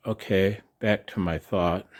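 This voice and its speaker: An older man talking casually and in a rambling way to his viewers as a video host, folksy and halting, with a gravelly voice. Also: sits low, steady tone. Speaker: amateur radio operator and video host. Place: outdoors in the cold.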